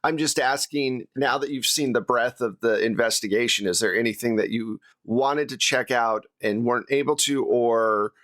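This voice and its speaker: A man's voice: medium pitch, normal speed, few pauses, fairly bright tone, dry recording. A man with a mid-range voice hosts a tabletop gaming chat in a dry, close recording. He rambles conversationally and poses questions in an easygoing, informal style. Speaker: host and game master of a tabletop actual-play show. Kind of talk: casual panel debrief.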